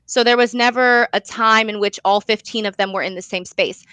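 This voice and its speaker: Young female guest, bright and expressive, chatty storytelling delivery, close dry sound. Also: a little high, normal speed, clear, resonant tone, no echo. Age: young adult. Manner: chatty, expressive, frequent "like" and "you know". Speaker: guest, young playwright and director. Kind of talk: casual interview storytelling.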